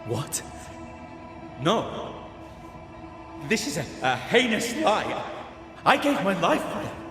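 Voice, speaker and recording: A man playing a self-righteous, anguished lieutenant's ghost in a video game, with halting dramatic delivery. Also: high voice, wildly swooping pitch, heavily acted. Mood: indignant. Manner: halting, repeating words, dramatic. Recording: echoing hall-like reverb under music.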